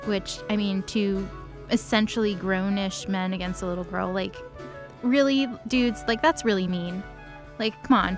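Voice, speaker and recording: Female comedic co-host, mid-pitched, conversational and slangy, dry sarcastic asides, music underneath. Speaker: co-host recapping a story. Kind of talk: casual comedic storytelling chat.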